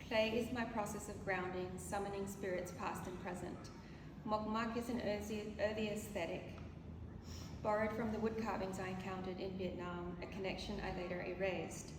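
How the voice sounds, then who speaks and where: Reflective woman speaking in an echoey gallery. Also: mid-range voice. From Australia. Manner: measured, reflective, some hesitant fillers. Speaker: artist speaking at an exhibition opening. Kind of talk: gallery opening speech, partly read aloud. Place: gallery room. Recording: distant mic in a roomy gallery with background noise.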